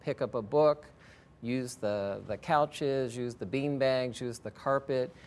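A man gives explanatory interview answers as a school educator. His voice sits at a middle pitch and he speaks plainly, repeating phrases for emphasis. A faint rumble runs underneath. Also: takes it slowly, darkish tone.

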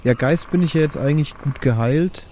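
A man's voice: on the high side, a little fast, dark tone, a little hiss or hum. A man chatting casually as a player in an improvised tabletop roleplaying game. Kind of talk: casual improvised in-character chat.

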